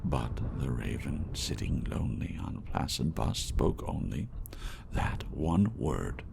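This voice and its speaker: Male narrator for gothic verse: very deep, full of gravel, slow and hushed ASMR delivery, rainy rumble underneath. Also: sing-song. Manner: slow, hushed, dramatic delivery with long pauses. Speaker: narrator, audiobook reader. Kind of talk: dramatic ASMR poetry reading. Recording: low rumbling background noise. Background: rain and thunder sounds.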